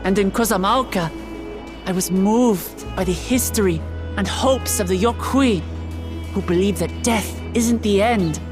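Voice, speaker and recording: An actress brings to life an earnest young woman heir stepping up as ruler, her voice sitting at an everyday middle pitch. She speaks with heartfelt sincerity to her people, steady and open, with orchestral game music swelling behind her. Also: unhurried, lightly acted. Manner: heartfelt, earnest public speech. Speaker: new ruler giving an ascension speech. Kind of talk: video game cutscene voice acting.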